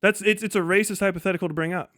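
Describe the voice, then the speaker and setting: Combative, smooth-voiced man arguing a point. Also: high-pitched, steady tone, very fast, a bit bright, dry recording, clean studio sound. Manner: assertive, combative, pedantic. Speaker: debater on a livestream. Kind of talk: heated political debate.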